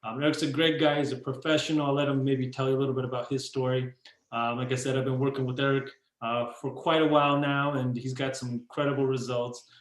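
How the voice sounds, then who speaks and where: A man's voice: mid-range voice, level tone, fast. Enthusiastic host man, echoey room. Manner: enthusiastic, repetitive, many fillers. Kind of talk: video call introduction. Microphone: video call.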